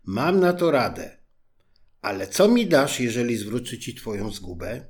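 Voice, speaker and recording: A man's voice: medium pitch, big pitch swings, measured pace. A man voicing a persistent female talking frog in a fairy tale, coaxing and pleading.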